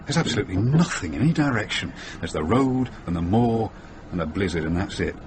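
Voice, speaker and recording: Low-voiced, blunt van driver. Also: male voice, animated, brisk. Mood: eerie, relishing. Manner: blunt, wry, matey. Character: big, gruff, teasing van driver. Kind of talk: radio drama dialogue. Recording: noisy radio drama recording.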